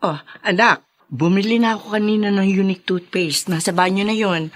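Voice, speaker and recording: An actress playing a caring, concerned mother in a radio drama, with a gentle, solicitous manner. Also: medium pitch, a little fast, no room sound, lightly acted.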